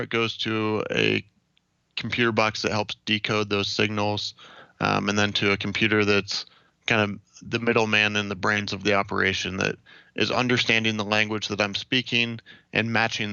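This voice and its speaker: A young American man from Ohio giving measured, explanatory answers as an interview guest. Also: lowish voice, little pitch movement, gravelly, bright, forceful tone, clean tone, no room sound, dull audio. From Ohio, United States. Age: young adult.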